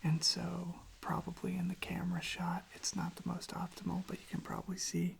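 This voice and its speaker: An ASMR creator speaking slowly in a soft, hushed whisper close to the microphone, gently repeating phrases. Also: medium pitch, monotone, gravelly, slight background noise. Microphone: close microphone propped on a desk.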